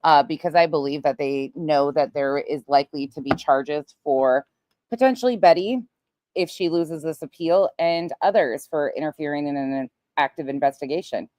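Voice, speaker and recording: A woman's voice: low-pitched, normal speed, darkish tone, no room sound. A woman commentating on played clips on an online talk show, casual, opinionated and emphatic.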